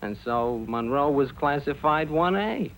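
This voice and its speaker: Animated male storyteller on old film. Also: on the high side, measured pace. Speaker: narrator. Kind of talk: storytelling cartoon narration. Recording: noisy old film soundtrack.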